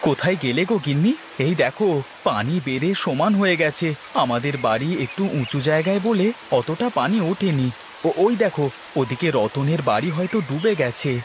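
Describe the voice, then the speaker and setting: A man's voice: on the high side, expressive, moderate pace, background noise, close to an everyday voice. A man voicing a plain-spoken village neighbour in a moral-tale cartoon. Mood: concerned.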